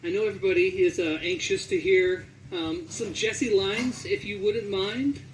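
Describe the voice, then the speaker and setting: A man's voice: high voice, moderate pace, distant mic, slight background noise, poor audio. A man interviewing in a casual, conversational style. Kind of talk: casual chat.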